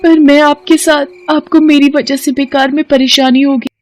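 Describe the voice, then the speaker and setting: A woman voicing a young witch who poses as a meek bride, soft and polite or coldly threatening, in a horror story. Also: high-pitched, level tone, moderate pace, slight background noise, natural voice. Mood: meek, troubled. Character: young female witch posing as meek bride, turning menacing. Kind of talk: dramatised Hindi horror story acting.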